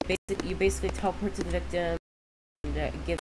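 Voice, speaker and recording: A woman with a cold talks through a computer game in a loose, halting way, stopping and restarting as she goes. Her voice sits low. A loud noise runs under the recording. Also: fairly even intonation. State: sick with a cold. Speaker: gaming YouTuber. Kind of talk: casual game showcase commentary.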